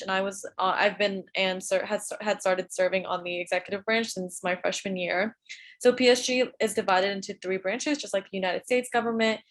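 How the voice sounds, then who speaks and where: A young woman from Atlanta speaking as a student government president on an online panel, halting with restarts and fillers, heard over a video call. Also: mid-range voice, fairly bright tone. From Atlanta, Georgia. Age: young adult. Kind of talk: panel introduction.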